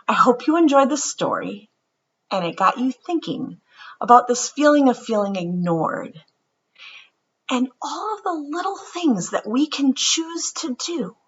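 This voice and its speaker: A woman reads a children's story with a lively, swooping delivery and a bright voice. She is dramatic and leans on her pauses. The recording sounds a little dull and lo-fi. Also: medium pitch, slightly rough. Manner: dramatic, with emphatic pauses and acted-out dialogue. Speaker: storyteller reading a picture book aloud. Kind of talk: expressive read-aloud for children.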